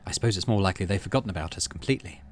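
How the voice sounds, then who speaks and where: A man's voice: low-pitched, racing, noisy background, lightly acted. A man voicing an earnest, stoic teenage girl scientist in a visual novel, with precise, formal speech. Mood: tense, resigned. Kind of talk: reading visual novel dialogue aloud.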